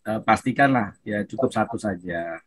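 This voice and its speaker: A man with an Indonesian accent moderating a video call, brisk and encouraging as he hands the floor to participants. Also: on the low side, a bit bright, no echo.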